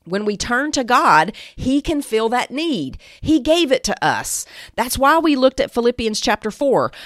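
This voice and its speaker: A woman's voice: on the high side, fairly bright tone, no echo, clean studio sound. A woman giving a devotional teaching talk, speaking emphatically and exhorting, repeating phrases for weight. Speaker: Bible teacher and podcast host.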